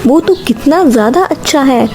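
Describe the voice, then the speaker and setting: A woman's voice: high voice, lively intonation, normal speed. A woman voicing a caring, eager middle-aged mother bird in a cartoon moral story, speaking in an earnest, coaxing, polite way.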